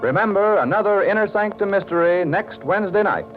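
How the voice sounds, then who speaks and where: Lively American radio announcer man, higher-pitched. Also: fairly quick. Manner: polished, emphatic salesman delivery. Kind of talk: 1940s radio commercial announcing. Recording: tinny 1940s radio, faint music.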